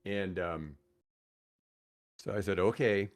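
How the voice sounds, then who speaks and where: An older man with an American accent, telling rambling, animated anecdotes casually as a radio veteran on a podcast. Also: lowish voice, brisk. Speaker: radio host and guest.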